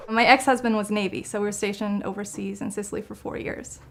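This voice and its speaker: Casual woman with heavy vocal fry. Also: medium pitch, fairly even intonation, quick pace. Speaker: food blogger being interviewed. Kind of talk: casual conversational interview answers.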